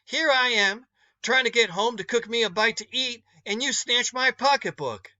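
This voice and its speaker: A man voicing a stern but kind, motherly older woman in a storybook read-aloud, scolding in a folksy, emphatic, drawn-out way. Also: very high voice, pushed and bright, lo-fi sound, voice pushed up, full character voice.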